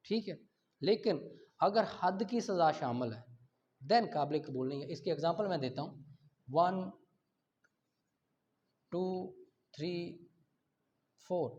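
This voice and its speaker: A man's voice: sits high, darkish tone. A man with a Pakistani accent giving an explanatory law lecture with examples, asking and answering his own questions and mixing in English terms. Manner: switches between Urdu and English legal terms. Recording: dull lo-fi sound.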